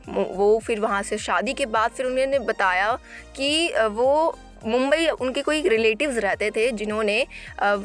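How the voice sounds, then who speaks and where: Woman, higher-pitched voice, informal celebrity news narration, halting and repetitive, music behind. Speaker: entertainment gossip presenter.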